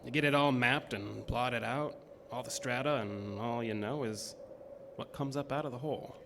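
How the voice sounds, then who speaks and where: A man's voice: medium pitch, normal speed, vocal fry, close to an everyday voice. An actor playing a jittery, weary oil-field geologist in a horror audio drama, hesitant and repeating his words. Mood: distracted. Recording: noisy track with ambient sound.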